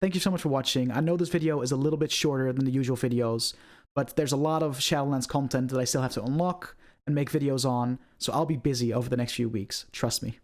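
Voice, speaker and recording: A man's voice: medium pitch, fast. A man hosting an online video, giving scripted commentary on video game lore in a presenter's style.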